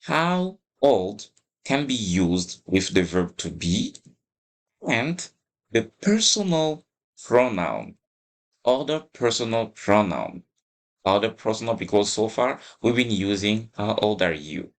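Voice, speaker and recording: A man's voice: fairly low pitch, sing-song, takes it slowly. A man giving an instructional English lesson, explaining emphatically with plenty of repetition and frequent check-in questions. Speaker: English teacher.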